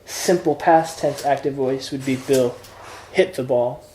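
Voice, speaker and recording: A man's voice: medium pitch, measured pace, dark tone, reverberant, low-quality recording. A man teaching introductory Greek grammar in an informal lesson, explaining patiently step by step with examples. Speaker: Greek tutor.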